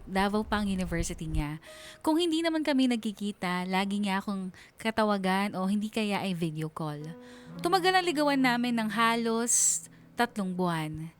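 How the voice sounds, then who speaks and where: Radio story narrator, played by an actress: young Filipino woman, gushing and conversational, medium pitch, music bed. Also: a little hiss or hum, close to an everyday voice. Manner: chatty, gushing. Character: giddy, lovestruck young woman telling her story. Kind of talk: dramatized letter narration.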